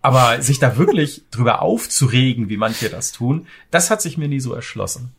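Man chatting casually with a creaky voice. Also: mid-range voice, lively intonation, normal speed. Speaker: music podcast co-host. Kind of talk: casual chat about metal music.

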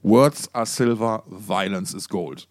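A man's voice: on the low side, takes it slowly, no room sound, studio quality. A man chats casually as a podcast co-host, in a colloquial, effusive way full of interjections and slang. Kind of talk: casual music podcast chat.

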